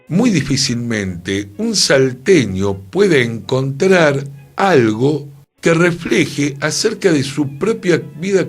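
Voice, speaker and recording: A man with a Buenos Aires accent talking reflectively on a radio culture show, deliberate and opinionated. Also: fairly low pitch, breathy edge. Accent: Buenos Aires Argentine.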